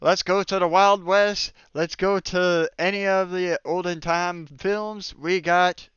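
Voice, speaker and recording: A man with a fairly high, smooth voice leads a casual, joking group chat. The recording is dry and slightly muffled. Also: fairly even intonation, takes it slowly, a bit bright, dry recording. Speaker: host of a group tier-list discussion.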